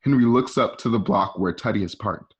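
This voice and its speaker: A man's voice: on the low side, fairly quick. A man reading screenplay stage directions aloud as the narrator of a radio play. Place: at home.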